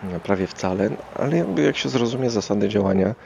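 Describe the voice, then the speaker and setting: A man's voice: lowish voice, animated, a little fast, vocal fry, warm tone, breathy edge, muffled recording. A man hosting a casual solo podcast, rambling hesitantly as he thinks aloud. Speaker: podcast host.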